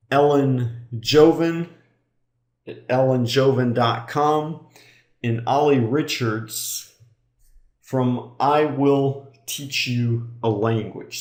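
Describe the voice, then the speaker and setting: Male English teacher, mid-pitched, very slow and deliberate, in an echoey room. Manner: slow, deliberate delivery for learners. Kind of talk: online English lesson.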